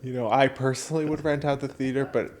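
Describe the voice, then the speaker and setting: A man with a mid-pitched voice banters as a podcast co-host. His delivery is casual and comic, dropping wry, playful quips in an easy conversational style. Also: steady tone, fast, darkish tone, a little hiss or hum.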